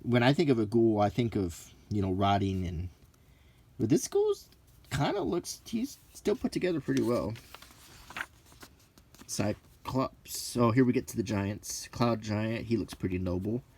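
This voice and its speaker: A middle-aged man giving casual, unscripted commentary as he leafs through a book, rambling and halting, musing aloud in short phrases. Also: medium pitch, sing-song, mellow, slightly rough. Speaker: host of a hobby channel.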